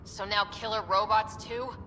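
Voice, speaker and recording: A woman's voice: medium pitch, steady tone, moderate pace, close to an everyday voice. A woman playing a young, level-headed recon specialist in a video game, speaking in a dry, casual way. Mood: wry, puzzled. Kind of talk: naturalistic video game dialogue acting. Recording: game audio over loud ambient noise.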